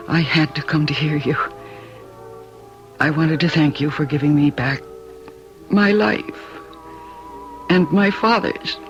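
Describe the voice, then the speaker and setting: Excitable, weary woman singer, dull radio sound. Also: deep voice. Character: weary, excitable female stage singer, yearning and impulsive. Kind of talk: 1970s radio drama acting. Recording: dull old radio broadcast recording.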